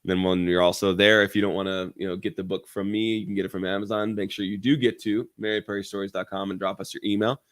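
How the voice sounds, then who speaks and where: A man talking casually as a podcast guest, easygoing and halting with frequent ums. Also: low-pitched, level tone, no room sound, clean studio sound. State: recently over the flu. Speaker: podcast guest, children's author.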